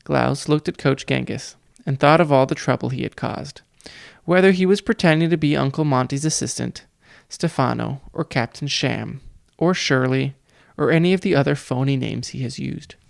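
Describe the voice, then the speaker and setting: Creaky-voiced man reading a children's story. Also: medium pitch, moderate pace, dry recording, studio quality. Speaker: audiobook reader.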